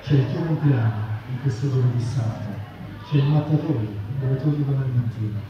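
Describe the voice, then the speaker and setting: A man's voice: medium pitch, fairly quick. A man reading poetry aloud at a public literary reading. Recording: dull, echoey, distant, crowd noise. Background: crowd.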